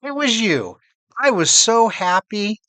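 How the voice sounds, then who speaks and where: A man voicing a loving storybook mother who fondly recalls happy times, with drawn-out, emphatic phrasing. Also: high voice, sing-song, fairly bright tone, dry recording, pitched above the performer's own voice, exaggerated voice. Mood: fond, joyful.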